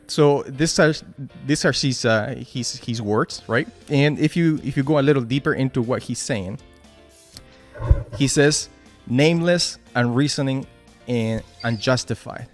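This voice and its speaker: A man with a Spanish accent giving a casual motivational talk at a team meeting, with frequent restarts and "right?" tags. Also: medium pitch.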